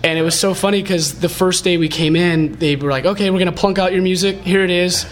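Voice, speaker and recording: A man from San Diego, a stage actor, talking in a mellow, casual way with little laughs. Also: sits high, steady tone, quick pace. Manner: mellow, laughs between phrases. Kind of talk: casual interview chat.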